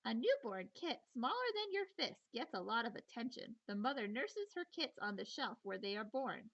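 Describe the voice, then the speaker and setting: A woman teacher reading a children's nature book aloud in an expressive, playful storytelling manner, with chatty asides. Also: fairly high pitch, moderate pace, lo-fi sound.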